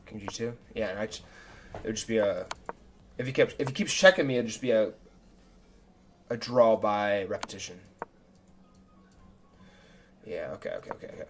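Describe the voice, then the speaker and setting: A young man, a chess streamer, talking casually and self-critically through his own game, his voice a little raspy. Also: on the low side, expressive, normal speed, long pauses. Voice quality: slightly raspy. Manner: chatty and self-critical, thinking aloud move by move. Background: faint background music.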